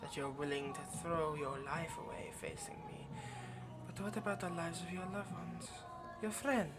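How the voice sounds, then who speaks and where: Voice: mid-range voice, fairly even intonation, normal speed, lightly acted. A possessive yandere vampire, acted out by an ASMR performer, speaks with haughty, teasing condescension in an intimate roleplay. The obsessive, smug delivery plays out over very prominent music. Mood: mocking. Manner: teasing, haughty, flustered stammers.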